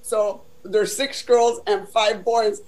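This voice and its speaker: Older woman with a deep voice. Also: fairly even intonation, slow pace, darkish tone, rough recording. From Southern California. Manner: chatty, many "you know" fillers. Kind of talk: casual life-story telling.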